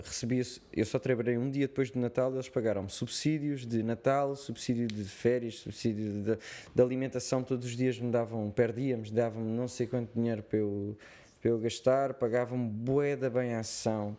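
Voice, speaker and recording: Jovem ator português de voz escura. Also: male voice, medium pitch, fairly even intonation, normal speed, warm tone. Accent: European Portuguese. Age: young adult. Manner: slangy, rambling storytelling. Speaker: actor guest. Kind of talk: casual interview chat. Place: studio.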